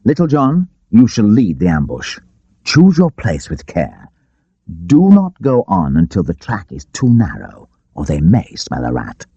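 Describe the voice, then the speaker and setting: An actor playing a bold, gleeful outlaw leader giving rousing, declamatory orders in an audiobook adventure. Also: male voice, on the low side, huge pitch swings, a little slow, mellow, breathy edge, dry recording, muffled recording, exaggerated voice. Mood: commanding.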